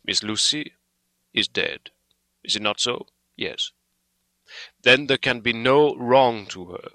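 A man performs an old foreign doctor from a storybook, solemn and earnest. The delivery is very slow and emphatic, breaking into halting phrases, with a piercing, forceful tone in a dry, close recording. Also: mid-range voice, no room sound. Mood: grave, halting. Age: older. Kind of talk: dramatic audiobook character reading.

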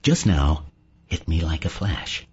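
A man's voice: very deep voice, big pitch swings, slow pace, slightly rough, dull audio, voice pushed down, full character voice. A man playing a naive, prudish Southern American delegate in an audiobook, folksy and earnest. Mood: earnest realization.

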